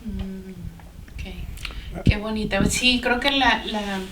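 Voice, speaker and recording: A woman's voice: mid-range voice, fairly even intonation, slow. Female interview host, distant from the mic, roomy sound, faint noise. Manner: warm, frequent backchannel affirmations. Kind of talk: interview conversation.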